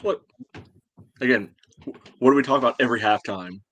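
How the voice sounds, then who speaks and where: A man's voice: medium pitch, fairly quick, fairly bright tone. A man co-hosting a sports podcast, casually discussing a match in a blunt, colloquial way.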